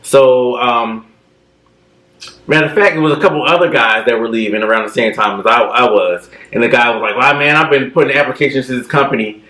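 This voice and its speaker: A man in his thirties telling stories in a relaxed, casual way, filling his talk with "you know". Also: medium pitch, a little fast, echoey room, rough recording. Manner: relaxed storytelling with many fillers. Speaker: co-host. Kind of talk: casual chat.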